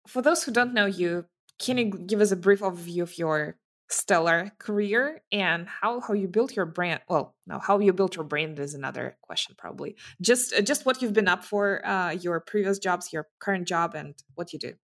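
A woman hosting a podcast interview, upbeat, with questions that trail off softly at the end. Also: lowish voice, moderate pace. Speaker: podcast host.

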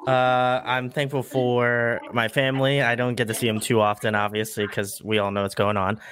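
A man guest on a casual podcast chat, talking in a relaxed way with some hesitation. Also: mid-range voice, normal speed.

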